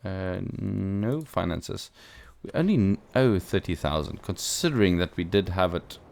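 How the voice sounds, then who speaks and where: A man's voice: deep voice, animated, measured pace, vocal fry, a little mellow. A man who is not a native English speaker gives casual gameplay commentary as a let's play host, thinking aloud with playful asides.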